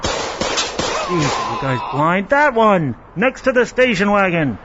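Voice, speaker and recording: Man playing a high-pitched, obtuse police negotiator. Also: very animated, slow, full character voice. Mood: exasperated, commanding. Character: obtuse male police negotiator, patient then exasperated. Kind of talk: comedy audio skit. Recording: dull, noisy street ambience.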